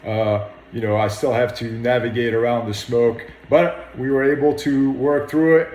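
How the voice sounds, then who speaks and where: A man speaks in an interview as a firefighter. His medium-pitched voice sounds plain and modest, recorded with a noticeable room echo. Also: fairly even intonation, moderate pace, a little mellow. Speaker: firefighter officer. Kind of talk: interview account of an incident.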